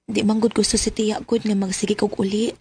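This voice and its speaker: An actress playing a sweet, lovelorn young student and obedient niece, with a Cebuano Filipino accent in radio drama style. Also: female voice, mid-range voice, level tone, brisk, darkish tone, breathy edge. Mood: tender, sweet.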